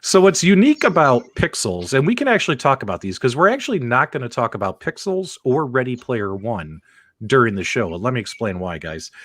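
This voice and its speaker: A man's voice: mid-range voice, fairly bright tone. A man hosting a casual live panel show, informal and conversational as he addresses viewers directly. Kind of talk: casual live-stream hosting and chat reading.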